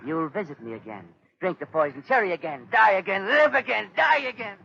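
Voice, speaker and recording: A man's voice: high-pitched, lively intonation, fairly quick, creaky, pushed and bright, breathy edge, heavily acted. An actor playing a gloating mad inventor in a 1930s radio drama, mock-polite and menacing as he savours his cruelty, on a noisy, muffled old recording. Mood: gloating, sadistic.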